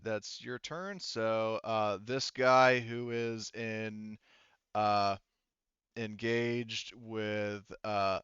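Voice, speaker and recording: A man working as game master in an online tabletop roleplaying session, thinking aloud in halting phrases as he narrates and checks rules on a headset mic. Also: lowish voice, fairly bright tone, smooth, no room sound, muffled recording. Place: online voice call. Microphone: gaming headset.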